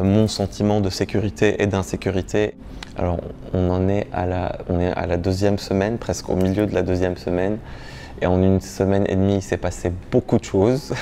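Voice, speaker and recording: A performance artist from Lebanon speaking in an interview, pausing often with fillers and restarts. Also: male voice, sits low, creaky, warm tone, a little hiss or hum. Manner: hesitant, many fillers and restarts.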